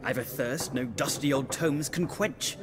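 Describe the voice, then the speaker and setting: An actor playing a pompous, eager, bookish scholar in a video game, speaking in a florid and theatrical manner. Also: male voice, medium pitch, unhurried, lightly acted. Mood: lofty, earnest. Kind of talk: video game character dialogue. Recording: crowd chatter behind the voice.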